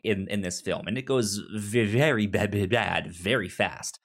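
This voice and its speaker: A podcast host with a halting, stammering delivery, chatting casually about a film. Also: sits low. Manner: halting, stammering with repeated syllables. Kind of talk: casual movie discussion podcast.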